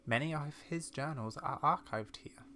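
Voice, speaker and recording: A man voicing a kindly, secretive old witch granny in a game, with measured, theatrical, old-fashioned speech. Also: on the high side, pushed and bright, slight background noise. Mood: mysterious. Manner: measured, mysterious storytelling with fond, old-fashioned turns of phrase. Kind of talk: game dialogue read aloud in character.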